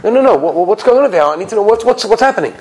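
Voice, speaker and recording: A man's voice: high-pitched, lively intonation, rapid-fire, a little mellow, slight background noise. A man voicing a naive, obedient, earnest poor man with a hesitant, repetitive way of talking in an oral story. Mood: curious.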